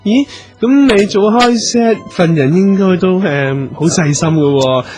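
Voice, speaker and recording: A man with a Hong Kong accent playing an excitable, fussy talent manager in a comic radio drama, hesitant and flustered. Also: high-pitched, measured pace, soft background music. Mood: businesslike, probing. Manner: hesitant, flustered, full of exclamations.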